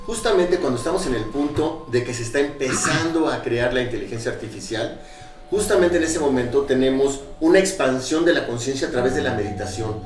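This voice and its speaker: An enthusiastic man speaking as a guest in a casual panel. He sounds far from the mic in an echoing room. Clear music plays behind him. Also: mid-range voice, expressive, a little fast.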